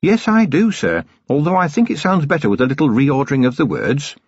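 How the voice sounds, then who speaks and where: A man's voice: fairly high pitch, big pitch swings, brisk, breathy edge, no echo, lo-fi sound, voice pushed up, exaggerated voice. A man voicing a cheerful, deferential female dwarf police sergeant, polite and eager, in an audiobook.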